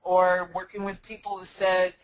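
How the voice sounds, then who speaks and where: Casting: earnest business coach, loose live Q&A, rambling delivery, mid pitch. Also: completely flat delivery, moderate pace, rough recording. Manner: rambling, frequent restarts and fillers. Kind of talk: casual live Q&A talk.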